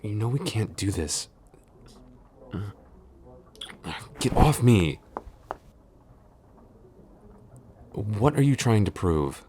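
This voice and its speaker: A man acting as a very low-voiced tsundere office boyfriend in an audio roleplay. He is shy and easily flustered, stumbling over his words as he talks. Faint ambient noise sits behind him. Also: sing-song, moderate pace, mellow. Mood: exasperated. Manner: stammering, hesitant, easily flustered.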